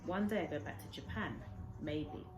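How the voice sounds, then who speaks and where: A woman voicing a gentle elderly Japanese man from a children's storybook, speaking in short phrases of broken English. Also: low-pitched, normal speed, roomy sound, lightly acted. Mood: wistful.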